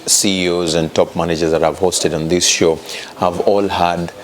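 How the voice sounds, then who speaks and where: Interview host, man, deep voice, attentive, soft backchanneling between questions. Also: little pitch movement, moderate pace, a little hiss or hum. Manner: frequent soft mm-hmm backchannels.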